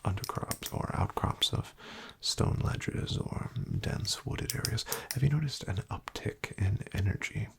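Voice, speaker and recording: Male ASMR host, whispered doctor roleplay, low gravelly voice, dry wry delivery. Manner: slow, hushed and wryly deadpan, with soft fillers.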